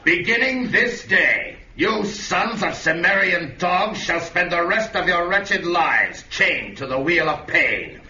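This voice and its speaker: Menacing brute warrior, slow delivery, lo-fi radio with music. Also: male voice, high voice, lively intonation, bright, forceful tone, exaggerated voice. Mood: commanding. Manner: snarling, threatening. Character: brutal male slave driver and pit fighter. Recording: dull old radio audio, music behind.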